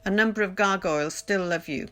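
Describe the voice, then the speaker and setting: A woman reading poetry aloud in a measured, deliberate literary recitation, pausing between phrases. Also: mid-range voice, fairly bright tone. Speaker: poetry reader. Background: music.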